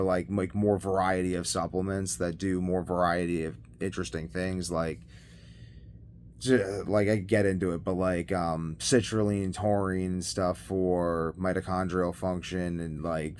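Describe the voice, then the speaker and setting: A man talking casually as a video blogger in a rambling monologue, halting and often trailing off mid-thought. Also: sits low. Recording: noticeable background noise.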